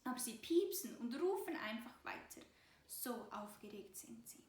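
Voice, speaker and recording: Lady reading a kids story, high voice, echoey room. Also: big pitch swings, normal speed, a little mellow. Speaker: children's storyteller. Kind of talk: storybook narration for children. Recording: roomy, echoing voice recording.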